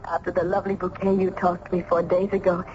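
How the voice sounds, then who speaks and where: A woman's voice: on the low side, level tone, quick pace, a little mellow, dull audio, natural voice. Casting: woman as innocent young maiden, earnest and formal, old-fashioned diction, on a noisy vintage radio play recording. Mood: gentle, welcoming. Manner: earnest, formal, archaic phrasing. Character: gentle, innocent young maiden, a doctor's sheltered daughter. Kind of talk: old-time radio drama acting.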